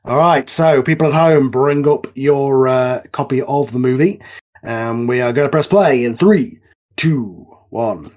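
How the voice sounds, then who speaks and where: A man's voice: mid-range voice, unhurried, a little mellow. A man hosting an informal film commentary, explaining things casually with a hesitant, chatty delivery. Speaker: host and writer of an audio drama.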